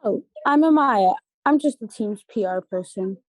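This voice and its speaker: A woman's voice: medium pitch, expressive, normal speed, no echo, natural voice. A young woman playing a friendly, chatty teenage team PR girl in a table read. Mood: flustered. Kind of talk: table read of a scripted pilot.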